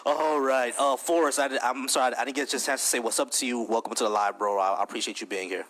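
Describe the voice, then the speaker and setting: Male host, mid pitch, easygoing slangy moderator. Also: fairly even intonation, quick pace, fairly bright tone. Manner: laid-back, slangy, easygoing. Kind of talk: live debate stream hosting.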